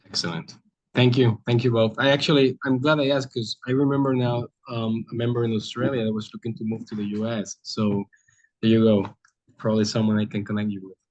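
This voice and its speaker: A man's voice: mid-range voice, little pitch movement, fairly quick, a little mellow, no echo. A man hosting a business webinar over a video call, easygoing with loose phrasing. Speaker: webinar host. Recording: dull, muffled video call audio.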